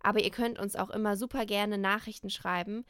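A woman's voice: mid-range voice, steady tone, a little fast, a bit bright, smooth, dry recording, clean studio sound. A young woman hosting a radio show, chatting directly to her listeners in a friendly way. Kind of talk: casual radio outro.

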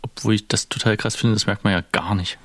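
A man's voice: lowish voice, steady tone, brisk, a bit bright, dry recording. A man hosting an interview, asking casual, blunt questions. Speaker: host.

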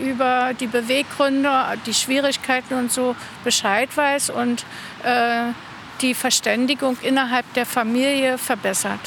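A woman with a high voice answers interview questions as an organiser. She pauses often to find her words, with faint street and vehicle noise behind her. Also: a bit bright. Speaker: interviewee, bus tour organiser.

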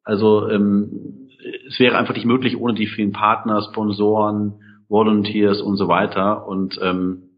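A man's voice: flat delivery, a little slow. A man who organizes an event, speaking enthusiastically in an interview with many filler sounds. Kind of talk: interview answers. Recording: dull low-fi sound.

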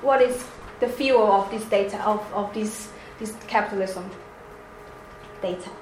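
A woman with a Chinese accent giving a seminar presentation, halting with many restarts and fillers. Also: medium pitch. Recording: echoey room, faint background noise.

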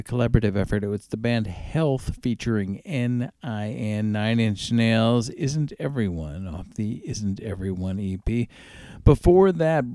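A male radio DJ talks through the tracks he has played on his music show. His voice is mellow with some gravelly fry and a lively, moving pitch. He goes haltingly, stretching out titles, in a close, dry sound. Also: no room sound.

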